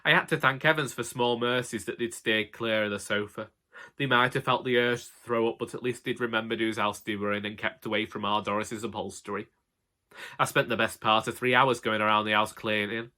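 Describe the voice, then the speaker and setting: A man's voice: lowish voice, steady tone, brisk, bright, forceful tone. A man with a Northern English accent narrating a comic first-person audiobook as a droll, grumbling, long-suffering husband.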